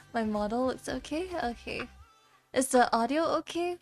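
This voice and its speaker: Young woman, tired and a bit scratchy, slow halting chat, light giggles, faint music behind. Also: high voice, animated. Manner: soft, halting, stammering, frequent giggles. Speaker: VTuber streamer. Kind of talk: casual livestream chat while drawing.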